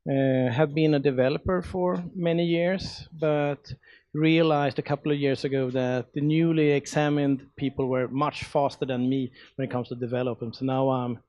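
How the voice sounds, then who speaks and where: A man's voice: medium pitch, fairly even intonation, moderate pace, a little mellow, no echo. Male coach, plain delivery, slightly muffled dry mic. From Sweden. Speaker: agile coach.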